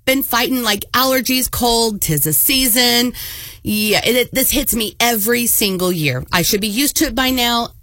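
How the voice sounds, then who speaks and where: A woman DJ talks on air with a scratchy, hoarse voice from a head cold. Her tone is bright and forceful, chatty and quick to laugh. The studio sound is dry and close. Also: a little high, animated, dry recording, soft background music. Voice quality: scratchy, raspy. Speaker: radio DJ. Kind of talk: casual radio chat. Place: radio studio. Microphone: studio mic.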